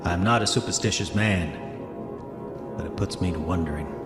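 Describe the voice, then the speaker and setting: An actor playing a haunted man of an older century, gravely and formally reading his murder confession in a horror audio drama. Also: low voice, roomy sound, over loud music, close to an everyday voice. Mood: haunted, uneasy. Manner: grave, formal, measured storytelling. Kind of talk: audio drama diary monologue.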